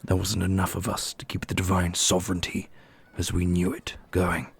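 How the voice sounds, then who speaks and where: Guy doing a dark fantasy prince, husky n creaky, grave and commanding, asmr roleplay style. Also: low voice, monotone, moderate pace, dry recording, heavily acted. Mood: solemn. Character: brooding, commanding immortal dark prince, divine brother.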